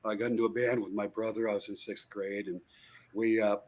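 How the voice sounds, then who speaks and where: A man's voice: fairly low pitch, little pitch movement, fairly quick, a little mellow. A man in his sixties, a guitarist guest in an interview, telling his story in a rambling way with many fillers and restarts over a video call. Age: 60s.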